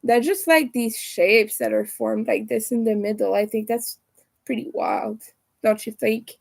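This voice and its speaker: A young woman with a raspy, hoarse voice, chatting casually with viewers as she crochets on a livestream. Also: fairly high pitch, measured pace, a little mellow. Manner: chatty, talks to viewers while working. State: hoarse from streaming a lot. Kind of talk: casual chat while crocheting live.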